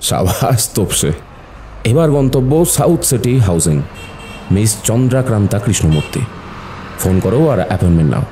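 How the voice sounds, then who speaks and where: A man acting a calm, patient, shrewd doctor turned detective in an audio drama, measured and mentoring. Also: lowish voice, noisy background. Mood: encouraging.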